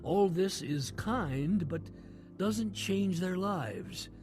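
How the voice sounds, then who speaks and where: A man as announcer and narrator of a radio drama series, reading in a solemn, measured way. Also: sits high, animated. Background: music bed.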